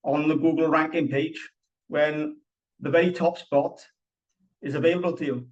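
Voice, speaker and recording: A Welsh man talks through a live online workshop, earnest and explanatory, circling back to drive each point home. His voice sits a little high for a man. The sound is dull and lo-fi. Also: fairly even intonation, moderate pace, far from the mic. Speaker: presenter.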